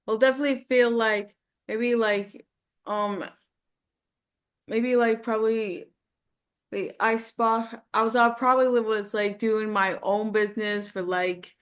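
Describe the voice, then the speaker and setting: Slow-talking woman, a hesitant acting student. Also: a little high, fairly even intonation, clean tone. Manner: hesitant, thinking aloud. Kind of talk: casual conversational answers in a lesson.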